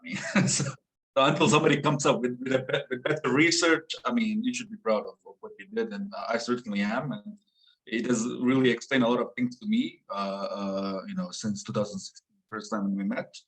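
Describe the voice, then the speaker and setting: A man responding on an online panel, slow and hesitant, speaking softly. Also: medium pitch, expressive, low-quality recording.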